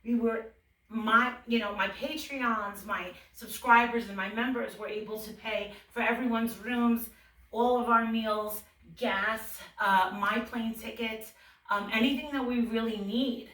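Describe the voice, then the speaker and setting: A woman's voice: a little high, steady tone, measured pace, a bit bright, far from the mic. A woman giving casual, heartfelt answers in an interview. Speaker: online video creator, interviewee. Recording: echoey, a little off mic.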